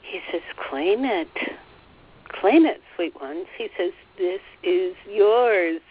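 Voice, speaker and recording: Slow, soothing woman guiding meditation. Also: lowish voice, breathy edge, phone-quality sound. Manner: gentle, soothing, repeats phrases. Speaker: spiritual teacher leading a meditation. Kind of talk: guided meditation, relaying a guide's words.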